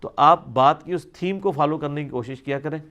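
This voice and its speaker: A man's voice: on the high side, normal speed, bright, forceful tone, dull audio. A man answers religious questions in an informal, explanatory lecture, reasoning conversationally as a scholar. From South Asia.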